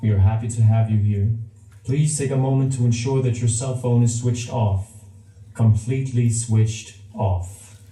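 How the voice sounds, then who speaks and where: Male pre-show announcer, deadpan and deliberate, very mellow tone, distant in a reverberant room. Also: mid-range voice. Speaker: theater announcer. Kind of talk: pre-show announcement with mock-ominous humor. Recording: echoey theater hall from far off.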